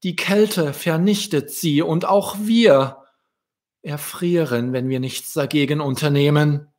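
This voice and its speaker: A man's voice: a little high, slow pace, a little mellow, pitched above the performer's own voice. A man voicing an anxious, caring mother ladybug who solemnly warns her children in a children's storybook. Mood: worried warning.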